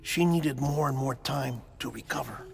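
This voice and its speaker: Earnest middle-aged medic played by a man: gravelly mid voice, explanatory game dialogue in a reverberant space. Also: medium pitch, normal speed, close to an everyday voice. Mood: grave, reassuring. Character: earnest middle-aged doctor and team official. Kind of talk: cinematic video game acting. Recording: long echoing reverb, faint music.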